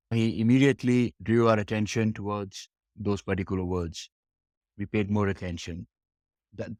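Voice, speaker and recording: Man, lower-pitched, instructional, step-by-step explaining, emphatic repetition, dry recording with no room sound. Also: no echo. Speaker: English test preparation instructor.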